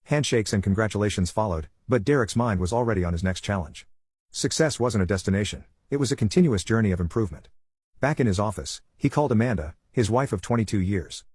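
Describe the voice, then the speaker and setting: Male narrator for scripted drama. Lowish pitch, brisk pace, very dry recording. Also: expressive, darkish tone, breathy edge, dry recording. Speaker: story narrator.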